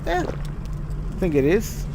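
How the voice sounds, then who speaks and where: An Australian man talks loosely over his gameplay, rambling and swearing as he goes. His voice sits a little high and the capture carries clear noise. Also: huge pitch swings, slow. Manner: casual and rambling, swears a lot. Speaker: gamer playing on stream. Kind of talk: casual gaming chat. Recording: noisy game capture.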